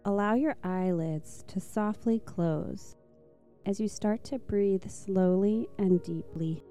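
Very dark, mellow woman guiding meditation. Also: mid-range voice, faint music behind. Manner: soft, slow, soothing. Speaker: meditation guide. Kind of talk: guided meditation narration.

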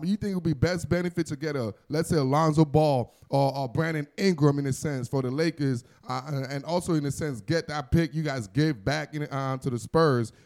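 A man with a voice a bit higher than average. He chats about sports casually and informally, as one of a panel, wording his thoughts loosely. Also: a little mellow.

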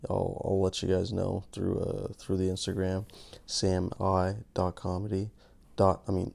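A man hosting his podcast in a casual, rambling monologue, gravelly from just waking up, joking at his own expense. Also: low voice, darkish tone. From Canada. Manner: rambling, self-mocking, many restarts. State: just woke up. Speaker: podcast host.

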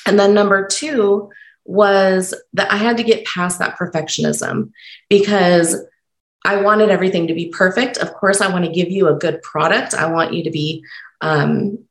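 A woman hosting a solo podcast, talking unscripted and encouraging while stumbling over her words, her voice croaky from being sick. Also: mid-range voice, roomy sound, poor audio. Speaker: podcast host.

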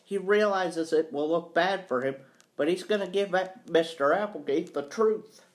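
A man's voice: high voice, normal speed, voice pushed up, natural voice. A man voicing a shaken, earnest teenage boy who is anxious for his father, in a storybook. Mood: earnest, troubled.

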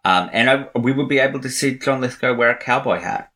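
A man's voice: medium pitch, brisk, sharp tone. A man co-hosting a podcast, giving rambling, opinionated movie talk with many fillers. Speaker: podcast co-host. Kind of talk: casual movie review chat. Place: podcast recording.

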